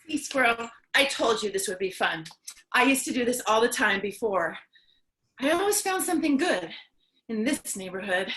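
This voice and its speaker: A woman playing a lonely, chatty, excitable apocalypse survivor in a theatrical comic staged reading. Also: a little high, normal speed, poor audio. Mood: cheerful, casual. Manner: theatrical, chatty, swings between outbursts and cheer.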